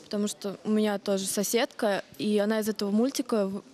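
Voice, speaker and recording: A woman's voice: mid-range voice, flat delivery, fast, dark tone. A woman in the audience casually giving her opinion in a discussion. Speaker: audience member.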